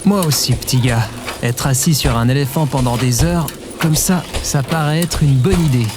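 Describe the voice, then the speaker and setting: A man's voice: medium pitch, moderate pace, over music, lightly acted. A man playing a casual, sarcastic adventurer who talks in a colloquial, grumbling way in an audio drama. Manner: colloquial, wry, hesitant.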